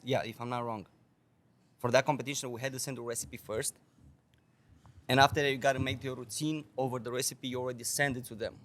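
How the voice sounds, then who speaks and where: A young man from Romania, a guest bartender, telling stories on a podcast in casual, swearing, laughing speech. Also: medium pitch. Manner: animated, swears a lot, laughs often.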